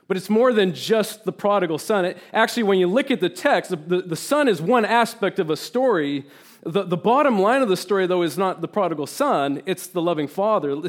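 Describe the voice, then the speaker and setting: A man raised in Turkey with Turkish as his first language delivers a sermon as a guest preacher. His voice sits a touch high, and he talks earnestly and with animation. He doubles up words for emphasis and reads scripture aloud.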